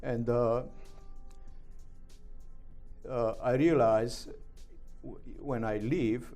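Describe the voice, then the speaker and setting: Halting middle-aged man with a Romanian accent. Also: medium pitch, steady tone, dark tone, faint music behind. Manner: halting, with many fillers and broken English. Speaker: guest. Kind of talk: interview answers.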